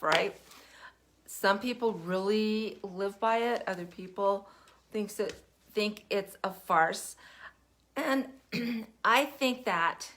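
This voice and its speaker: An older woman hosting a casual livestream, chatty and meandering, her throat still rough from a lingering cough. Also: mid-range voice. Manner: chatty, warm-hearted, meandering with fillers. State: recovering cough, cough drop in mouth. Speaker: podcast host. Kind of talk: casual livestream talk to viewers.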